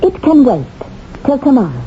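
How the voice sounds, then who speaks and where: An intense, vengeful young woman speaks in an emotional 1970s radio drama. Her fairly high voice is lively and warm. The old broadcast is muffled, with a faint hiss. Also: normal speed. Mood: tense.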